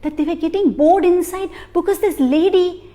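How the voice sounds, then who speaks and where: A boastful flame spirit, breathless with gossip and pitched up high, insisting its tale beats all others, as a woman storyteller puts it on. A bright, excited storybook voice. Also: moderate pace. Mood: gossipy.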